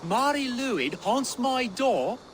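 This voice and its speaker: An actor playing a jovial village householder, hearty and singsong, in a video game. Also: male voice, very high voice, lively intonation, slow pace, a little hiss or hum, full character voice. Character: jovial village householder man trading festive rhymes. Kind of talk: video game dialogue acting.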